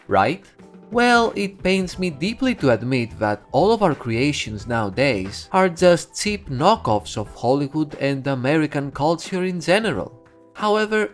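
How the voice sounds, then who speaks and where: Greek guy roasting a cheap fantasy movie, voice bouncing up and down, soft music under it. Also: a little high. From Greece. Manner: sarcastic, mocking. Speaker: movie reviewer. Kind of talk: comedic movie review narration.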